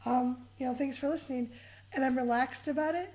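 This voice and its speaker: A woman co-hosting a casual advice chat, hoarse and raspy as she gets over a cold, relaxed and conversational. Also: high-pitched, brisk. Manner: relaxed, conversational, laughs easily. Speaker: co-host.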